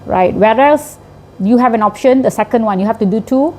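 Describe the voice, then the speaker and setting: Malaysian woman teacher, mellow voice. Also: a little high, brisk, a little hiss or hum. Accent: Malaysian English. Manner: explanatory, often ends with a tag question. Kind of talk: interview answers.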